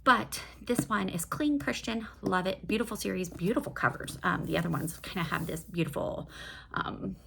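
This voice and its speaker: A woman's voice: medium pitch, normal speed, gravelly, noisy background. A woman chatting casually about books in an enthusiastic, digressive way, like a host reviewing her reading. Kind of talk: casual book review chat.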